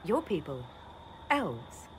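An actress playing a composed, skeptical female elf mage in a video game, speaking in a measured, dry, questioning way. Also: low-pitched, big pitch swings, a little hiss or hum, full character voice. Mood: puzzled, dry.

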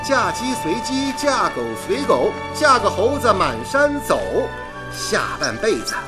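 A man's voice: high voice, very animated, a little slow. An actor playing a cocky, jovial radio engineer with a teasing manner, wooing his sweetheart in a radio drama. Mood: playful. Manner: teasing, bantering. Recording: radio drama with music behind.

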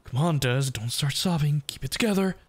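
A man voicing a desperate, lovesick, self-loathing young yandere in an intimate ASMR roleplay, halting and stammering. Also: fairly high pitch, expressive, no echo, voice pushed up. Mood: tender, anxious. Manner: halting, stammering, pleading, breathy sighs.

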